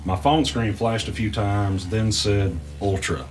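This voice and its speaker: An actor playing an anxious podcast host in an audio drama, earnest and hesitant in natural dialogue and narration. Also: male voice, lowish voice, steady tone, a little slow, soft background music, lightly acted. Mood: measured, storytelling. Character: anxious male podcast host caught in a conspiracy.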